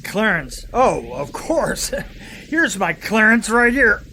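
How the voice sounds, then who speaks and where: An actor playing a sardonic old saboteur in an audio drama, dry and joking. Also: male voice, high-pitched, big pitch swings, slow pace, creaky, sharp tone, full character voice. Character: wry old male saboteur calling himself Father Time. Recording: heavy background noise.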